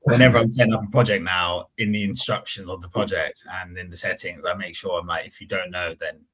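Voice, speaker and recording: A man's voice: deep voice, steady tone, brisk, fairly bright tone, breathy edge, poor audio. A man hosting a podcast in casual chat, giving his opinions informally and circling back to rephrase them. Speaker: podcast host.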